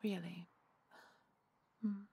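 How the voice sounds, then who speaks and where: A woman's voice: mid-range voice, fairly even intonation, extremely slow, mellow, no room sound, exaggerated voice. A woman playing a menacing, mock-polite supernatural villain in an audio drama, speaking in a taunting, falsely sweet manner. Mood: smugly sweet. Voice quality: creaky, breathy. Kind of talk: audio roleplay acting.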